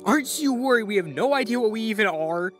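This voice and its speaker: A man voicing an earnest, worried young male robot, boyish and anxious, in an animated fan dub. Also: sits high, fast, soft background music.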